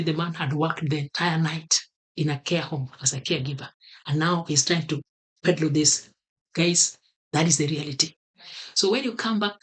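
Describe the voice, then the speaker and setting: A man from Kenya with a Kenyan accent, talking earnestly to camera and repeating his key advice for emphasis. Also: fairly high pitch. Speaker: vlogger. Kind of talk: motivational talk to camera.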